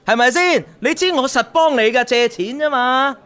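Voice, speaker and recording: A man's voice: very high voice, normal speed, bright, forceful tone, clean tone. An actor playing a boastful, condescending young rich man in a radio drama, with a Hong Kong accent and showy, smug delivery. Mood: showing off. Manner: showy, smug, peppers talk with tags and English.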